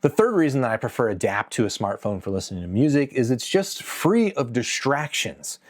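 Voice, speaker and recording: A man's voice: medium pitch, big pitch swings, brisk. Conversational man hosting a review video. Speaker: host of an audio gear review channel. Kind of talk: casual scripted video review to camera.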